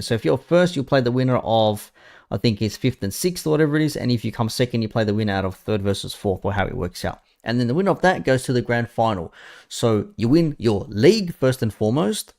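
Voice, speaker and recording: A man's voice: mid-range voice, gravelly, darkish tone. Aussie guy in his 30s ranting about football, blunt and mocking, swears a lot. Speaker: football streamer. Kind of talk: casual live chat.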